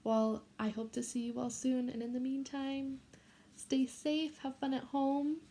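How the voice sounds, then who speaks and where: A woman reading a picture book aloud to young children in an expressive way, pausing to ask them questions. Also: high voice, a little hiss or hum. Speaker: children's storybook read-aloud host.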